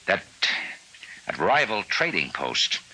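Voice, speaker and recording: Sharp, low-voiced shrewd trader. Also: male voice, wildly swooping pitch, slow pace, slightly rough, exaggerated voice, rough recording. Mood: confiding, scheming. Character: shrewd, knowing male trading post man. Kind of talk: 1950s radio drama acting. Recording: 1950s radio drama recording.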